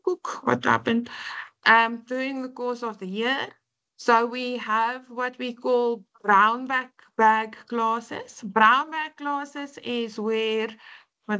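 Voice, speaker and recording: Afrikaans accented woman lecturer, earnest, lots of ums, talks slow and bright. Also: on the high side. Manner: earnest, explanatory, frequent fillers. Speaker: university lecturer. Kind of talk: online orientation class.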